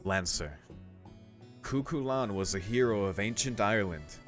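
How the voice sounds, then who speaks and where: A man's voice: fairly low pitch, animated, moderate pace, faint music behind. A man reading a visual novel aloud in a casual let's-play style, mixing narration with his own commentary.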